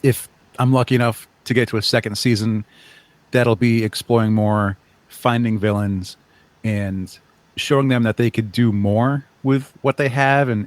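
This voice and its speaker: A man's voice: mid-range voice, no echo. A man being interviewed as a creator, talking casually and explaining things in an earnest way. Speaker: guest, audio drama creator.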